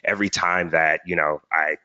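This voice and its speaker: A man's voice: extremely low pitch, fairly even intonation, normal speed, bright, forceful tone, no room sound, lo-fi sound. A man speaking as an interview guest in an earnest, reflective conversational way, often checking in with "right?". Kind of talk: motivational conversational talk.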